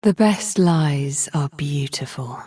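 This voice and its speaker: An ancient, vengeful video game sorceress. Her voice is lowish and husky, and she speaks with proud, theatrical menace, measuring out each haughty phrase. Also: slow, warm tone, heavily acted. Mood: sly, cryptic. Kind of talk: video game character voice lines.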